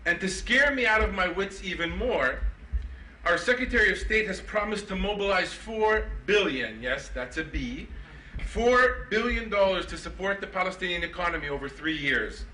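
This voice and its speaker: A middle-aged man giving a keynote at a conference, with a sarcastic, ironic delivery and animated mock-indignant questions. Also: high-pitched, moderate pace, slight background noise. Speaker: keynote speaker. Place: conference hall. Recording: echoey hall, mic from far off.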